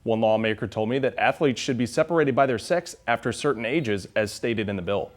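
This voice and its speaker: A man's voice: medium pitch, brisk. A man in his twenties with an American accent, delivering scripted reports as a television news reporter.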